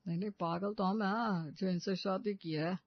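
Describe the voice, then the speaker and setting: A woman's voice: mid-range voice, steady tone, normal speed, no room sound, dull audio, voice pushed down, natural voice. A woman voicing an exasperated young husband in a cartoon family comedy, scolding and complaining. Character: grumbling, exasperated young husband and elder brother.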